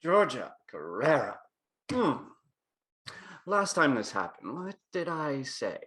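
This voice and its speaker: Actor doing a fussy sardonic dance coach, theatrical, pitch goes all over the place. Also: sing-song, takes it slowly, a bit bright. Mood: exasperated, withering. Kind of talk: screenplay table read acting.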